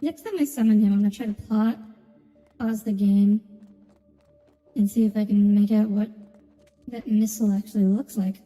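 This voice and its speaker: A woman's voice: medium pitch, fairly even intonation, moderate pace, pauses often, dark tone, big-room sound, poor audio. A woman giving casual commentary as she streams a video game. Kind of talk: casual gaming commentary, reading dialogue aloud. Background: video game music.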